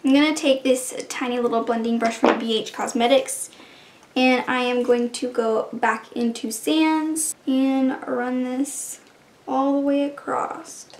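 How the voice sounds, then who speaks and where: A woman's voice: high voice, fairly even intonation, a little slow, roomy sound, a little hiss or hum. A beauty vlogger, a woman who sounds a bit congested and stuffy, chatting casually through her makeup steps as she works. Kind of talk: casual makeup try-on narration.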